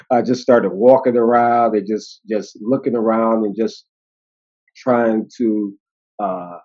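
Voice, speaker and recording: A man in his fifties with an American accent, telling stories as an interview guest, animated and laughing, with many fillers. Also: lowish voice, takes it slowly, pauses often. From United States. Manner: animated, laughing, acting out quoted voices, many fillers and restarts. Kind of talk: casual storytelling conversation. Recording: dull lo-fi audio.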